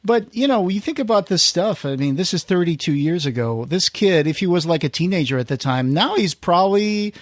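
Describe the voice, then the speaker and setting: A man with a slightly higher-pitched voice talks casually as a podcast host. He feels his way through sentences, often restarting and rewording. The recording is very dry, with no room sound. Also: lively intonation, fairly quick, hardly any pauses, no room sound. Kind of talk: casual conversational recap.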